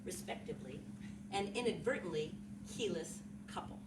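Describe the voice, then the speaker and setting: A woman's voice: fairly low pitch, expressive, moderate pace. A woman giving a dramatic reading from a literary text, posing formal questions. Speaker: literary reader. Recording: roomy echo, distant mic, clear noise.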